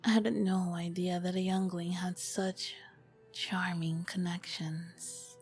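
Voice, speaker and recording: A woman playing an ancient, charming, flirty vampire queen in a whispered ASMR roleplay, with elegant, formal diction and a soft breathy voice. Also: fairly low pitch, flat delivery, slow pace, faint music behind, exaggerated voice. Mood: flirty, teasing.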